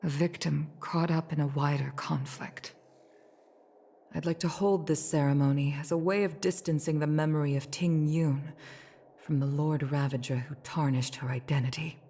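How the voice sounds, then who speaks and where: A low, solemn female commander. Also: fairly even intonation, warm tone, slight background noise. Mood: solemn, grieving. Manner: formal, measured, grave. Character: dignified mature female commander, solemn and restrained. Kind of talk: video game voice acting.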